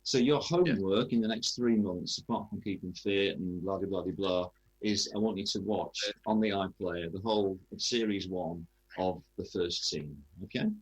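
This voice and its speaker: A man's voice: low voice, normal speed, breathy edge, rough recording. A man interviewing in a chatty sports radio style, hesitantly rephrasing his questions as he goes.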